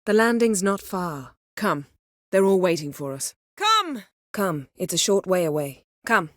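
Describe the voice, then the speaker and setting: A woman voicing a proud, sharp-tongued sorceress in a video game, speaking in formal, crisp, imperious phrases with composure and wry wit. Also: on the low side, sing-song, a little slow, dry recording, studio quality. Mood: brisk, insistent. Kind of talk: video game character dialogue.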